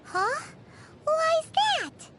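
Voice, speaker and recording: Tiny childlike game fairy: extremely high, squeaky, swooping delivery, chirpy and cheeky, music behind. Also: female voice, drawn-out words, background noise, exaggerated voice. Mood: surprised, cheeky. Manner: speaks of self in third person, animated. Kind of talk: animated video game voice acting.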